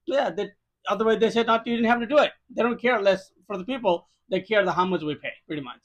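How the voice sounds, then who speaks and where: High-pitched man with a Nepali accent. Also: fast. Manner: emphatic, repetitive non-native phrasing. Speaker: podcast guest. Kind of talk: conversational first-hand account.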